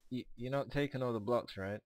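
A man with a hoarse, fading voice, chatting casually while playing a co-op game, often hesitant and stumbling over his words. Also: medium pitch, fairly quick, no echo, rough recording. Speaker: co-op game player. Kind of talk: casual gameplay chat.

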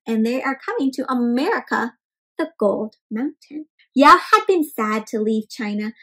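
A young woman hosting an interactive read-aloud for small children, expressive and full of questions addressed straight to her listeners. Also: on the high side, poor audio. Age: young adult.

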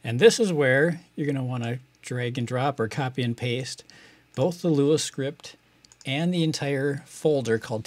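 A man's voice: mid-range voice, dry recording. Middle-aged American man, dry close sound. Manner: conversational, explanatory. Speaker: video tutorial host. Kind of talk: casual step-by-step tutorial.

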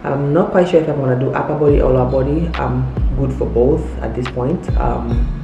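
A woman's voice: very deep voice, fairly quick, gravelly, dull audio. A woman in her thirties from Tanzania, chatting casually and informally to camera as a vlogger. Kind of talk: casual vlog chat to camera. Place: home interior, open living room and kitchen. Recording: roomy echo, music underneath.

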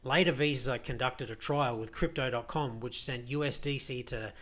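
Croaky, worn-out Australian man; casual rambling vlog commentary, lots of fillers. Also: medium pitch, normal speed, fairly bright tone. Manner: rambling, filler-heavy, many restarts. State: croaky, tired voice. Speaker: crypto vlogger. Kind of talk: casual screen-share commentary.

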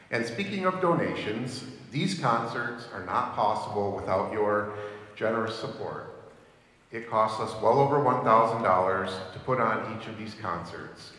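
A middle-aged man reading announcements from a sheet on a concert hall stage in a measured, informative way. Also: lowish voice, a bit bright. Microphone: handheld mic on a stand. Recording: roomy concert hall reverb, faint hiss.

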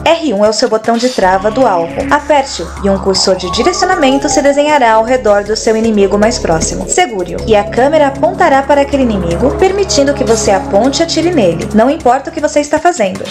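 A woman's voice: a little high, lively intonation, fast talker, hardly any pauses, over music, lightly acted. A woman voicing a composed female field agent in a dubbed game, giving instructions in a matter-of-fact way. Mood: instructive. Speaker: field agent partner and training narrator. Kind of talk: scripted game dub, instructional briefing.

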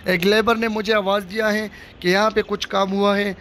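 A man's voice: high-pitched, level tone, moderate pace, fairly bright tone. A man, giving a casual walking tour of a stadium under construction to viewers, filmed on a handheld phone outdoors. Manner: addresses viewers directly, repetitive showing phrases. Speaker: vlogger giving construction updates. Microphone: handheld phone camera held by the speaker. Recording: faint outdoor noise.

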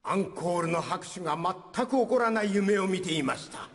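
An actor playing a genial, dignified elderly judge with a ceremonious, polite manner in a staged courtroom skit. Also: male voice, high voice, animated, a little fast. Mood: dazed. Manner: courtly, ceremonious, polite old-man phrasing. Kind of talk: live stage skit acting, formal announcing. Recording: echoey concert hall, long reverb.

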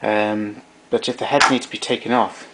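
A man's voice: lowish voice, fairly even intonation, moderate pace, very noisy. A man giving an unscripted technical demonstration in a matter-of-fact, somewhat hesitant way. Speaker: demonstrator of vintage tape recorder.

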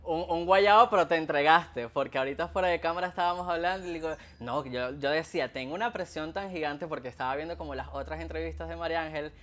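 A man's voice: a little high, quick pace, few pauses, a bit bright. A Venezuelan man hosting a casual interview, chatty and playful, wearing headphones. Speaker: host. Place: home room. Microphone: headphones with a mic.

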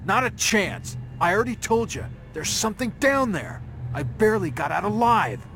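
An actor playing a defiant, rattled investigator in a video game, creaky, agitated and emphatic. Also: male voice, high voice, sing-song, moderate pace, a bit bright, soft background music, full character voice. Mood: alarmed, defiant. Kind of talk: video game cutscene acting.

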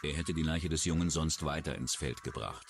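A man's voice: very deep voice, moderate pace, creaky, background noise. A man reading scripted true-crime narration as a documentary narrator. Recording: music under the voice. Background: background music.

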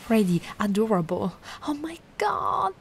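A woman voicing a giant, sweet and curious young mermaid in an ASMR roleplay, gushing and doting in a gentle, cooing way. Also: fairly high pitch, big pitch swings, measured pace, gravelly, exaggerated voice. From Italy. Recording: noticeable ambient background noise.

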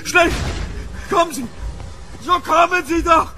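A man's voice: very high-pitched, unhurried, bright, forceful tone, soft background music, heavily acted. An actor playing a panicked, desperate English nobleman in a horror radio drama, with urgent, stammering repetitions.

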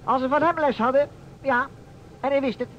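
A man's voice: very high-pitched, fairly even intonation, a little fast. A man voicing a cheeky, sullen teenage schoolboy with a nasal, insolent delivery in an old radio play. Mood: smug. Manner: slangy, insolent. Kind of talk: vintage Dutch radio play acting. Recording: dull, noisy old radio recording.

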